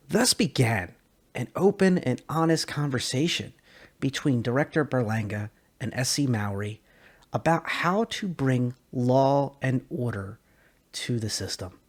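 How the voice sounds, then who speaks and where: A man's voice: medium pitch, breathy edge. A man giving a dramatic scripted narration of science fiction lore with theatrical, emphatic phrasing. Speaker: narrator, lore video creator.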